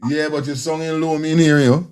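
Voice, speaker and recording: A man's voice: a little high, little pitch movement, a little fast, loud music behind the voice. A man with a Guyanese Creole accent, co-hosting a casual chat, talking loosely with stammering restarts. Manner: loose, rambling, stammering restarts, laughs often. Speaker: co-host.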